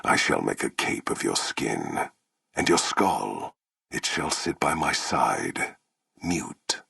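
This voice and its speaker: Towering game warlord: extremely low, husky rumble, grim and proud, commanding, with big dramatic swings in intonation. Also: male voice, very animated, slow, sharp tone, dry recording, clean studio sound, exaggerated voice. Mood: cruel menace. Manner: solemn, commanding, formal and portentous speech. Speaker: video game voice actor. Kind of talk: theatrical video game villain acting.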